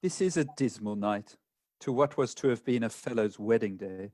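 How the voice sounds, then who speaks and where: A man's voice: lowish voice, expressive, normal speed, warm tone, no echo, studio quality, lightly acted. A man playing a gloomy, anxious jilted bridegroom in a comic farce, plaintive and formal. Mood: glum. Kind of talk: comic stage farce acting.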